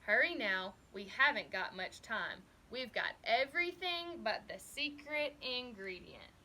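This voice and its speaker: A steady, folksy country grandmother from a children's storybook, read by a woman. She speaks slowly and brightly, reassuring the child in plain farm phrases. There is faint noise behind her. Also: fairly high pitch. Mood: gentle, reassuring. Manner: steady, soft, rustic phrasing. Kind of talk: children's storybook character reading.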